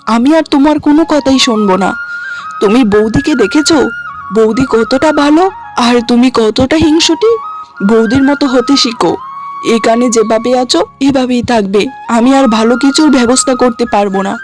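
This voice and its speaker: A woman's voice: fairly high pitch, moderate pace, music in the background, lightly acted. A woman voicing a young husband in a cartoon, exasperated and lecturing reproachfully. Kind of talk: cartoon dialogue.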